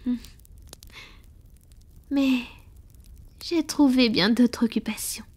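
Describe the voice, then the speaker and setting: A woman playing a tender, proud centuries-old vampire in an intimate ASMR roleplay, murmuring slowly in a soft, breathy whisper. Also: fairly high pitch. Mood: wistful, confiding. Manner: slow, intimate, affectionate murmuring. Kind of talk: French-language ASMR romantic roleplay.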